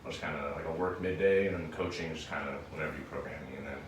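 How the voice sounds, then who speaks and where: A man's voice: rumbling low, racing. A man in his early twenties chatting casually as a guest, loosely explaining things as he goes. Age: early 20s. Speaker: gym coach and student, podcast guest. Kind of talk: casual chat. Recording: echoey room, distant mic, faint noise.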